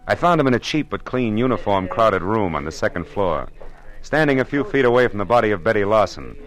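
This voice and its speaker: An actor playing a wisecracking hardboiled private detective in an old radio drama. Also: male voice, lowish voice, big pitch swings, a little fast. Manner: dry, wisecracking, laconic. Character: hardboiled male private detective, wry and cool. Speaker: narrator and lead. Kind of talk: 1950s radio acting.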